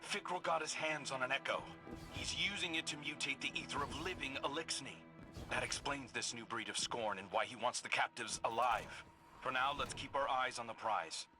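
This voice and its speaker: A man voicing a focused mission handler who gives brisk, directive orders. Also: medium pitch, lightly acted. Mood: urgent. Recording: game audio with music. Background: music.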